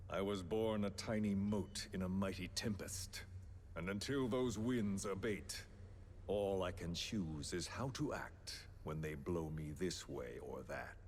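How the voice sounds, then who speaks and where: A menacing, philosophical game villain with a very low voice. He talks slowly and deliberately, condescending and theatrical as he coerces his captive. Faint noise sits behind the voice. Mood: coldly threatening. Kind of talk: dramatic video game voice acting.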